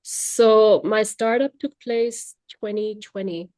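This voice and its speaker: A woman's voice: medium pitch, steady tone, slow, mellow, no room sound. A young adult woman, Tamil native, talking about her work as a language trainer in a reflective, chatty way, with fillers and restarts. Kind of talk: casual interview. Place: Luxembourg.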